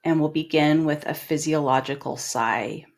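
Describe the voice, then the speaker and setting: A middle-aged woman leading a guided meditation in a calm, gentle, instructing manner with long pauses. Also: deep voice. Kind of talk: guided body scan meditation.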